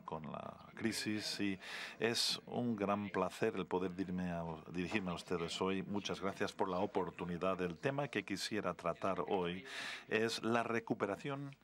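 A man interpreting a formal speech live, halting and correcting himself, with stretched words followed by quicker bursts. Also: low-pitched. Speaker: simultaneous interpreter.

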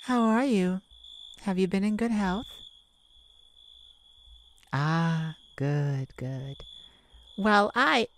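A woman voicing a playful, flirtatious immortal vampire in an intimate ASMR roleplay, teasing and dramatic with lingering pauses. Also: medium pitch, animated, slight background noise. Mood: gently reflective.

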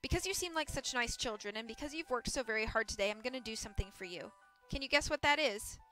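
A woman's voice: fairly high pitch, lively intonation, fast, pushed and bright, soft background music. Woman as a timid, kindly, deferential man. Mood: resigned, apologetic. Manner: nervous, mild, yielding.

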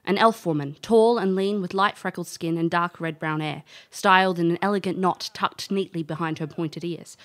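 Cheeky, lowish-voiced elf adventuress played by an actress. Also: female voice, normal speed, hardly any pauses, natural voice. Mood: storytelling. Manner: informal, brash. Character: cheeky, breezy female elf adventurer recalling her youth. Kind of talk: audio drama narration and dialogue.

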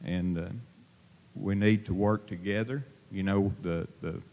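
Guy preaching into a handheld mic, lowish voice, church reverb hanging on every word. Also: steady tone, darkish tone. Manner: plain-spoken, halting, many fillers and restarts. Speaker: lay preacher. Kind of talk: informal sermon. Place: church sanctuary, at a pulpit. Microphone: handheld microphone held to mouth. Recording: long reverb of a church hall.

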